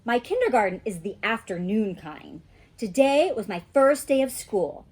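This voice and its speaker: A woman voicing an outspoken, stubborn five-year-old girl in a children's storybook, with a blunt, childish, expressive delivery. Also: a little high, normal speed, husky. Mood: matter-of-fact. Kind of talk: children's storybook read aloud.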